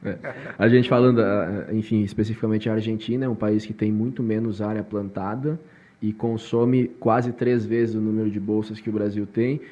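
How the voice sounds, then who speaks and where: Host, male, with a warm, muted tone that circles around his points. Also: on the low side, steady tone, normal speed, highs cut off. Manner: rambling, many restarts. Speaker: podcast host. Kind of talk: podcast interview.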